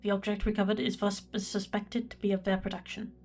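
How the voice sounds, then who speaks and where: A woman's voice: mid-range voice, flat delivery, a little mellow, a little hiss or hum, natural voice. A woman playing a reserved imperial records prefect, dictating a log in a formal, precise manner for a sci-fi audio drama. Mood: clinical, measured. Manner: formal, measured, clinical.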